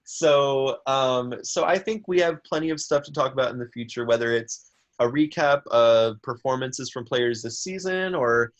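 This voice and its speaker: A man's voice: mid-range voice, normal speed, muffled recording. A man with a nasal voice co-hosting a casual chat show in an easygoing, self-deprecating way. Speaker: podcast co-host.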